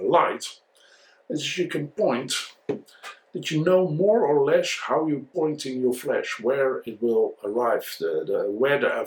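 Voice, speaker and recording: A man with a Dutch accent, a native Dutch speaker, giving an informal tutorial and review in a halting, didactic way, often repeating his words. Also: mid-range voice, low-quality recording. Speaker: photography instructor and video reviewer.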